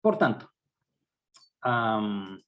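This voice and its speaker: A middle-aged man with an Ecuadorian accent leading a university writing seminar, speaking in a measured, hesitant way with frequent fillers. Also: lowish voice, animated, dull audio. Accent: Ecuadorian Spanish. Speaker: university research director giving a workshop. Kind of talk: academic training seminar.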